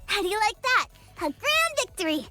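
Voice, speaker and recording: A very high, swooping little girl fighter, lively and cartoonish in anime game battle calls. The music is faint behind. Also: fairly quick, exaggerated voice.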